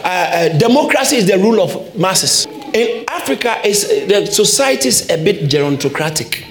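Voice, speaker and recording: Male pastor, high animated preaching voice, repeats lines for punch, reverberant church sound. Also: normal speed. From Ghana. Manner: emphatic preaching with repeated phrases. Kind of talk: sermon.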